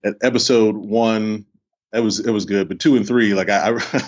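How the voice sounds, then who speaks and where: A man's voice: lowish voice, fairly even intonation, moderate pace. A man hosting a podcast, chatting casually and informally, often repeating himself as he goes. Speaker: podcast host. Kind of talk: casual interview chat. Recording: slightly dull, lo-fi microphone.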